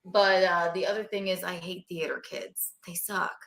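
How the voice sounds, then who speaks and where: A woman's voice: fairly low pitch, fairly even intonation, normal speed, vocal fry, crowd noise. A young woman from South Carolina, a stand-up comedian chatting as a guest and joking at her own expense. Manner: jokey, self-deprecating, rambling. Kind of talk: casual interview chat.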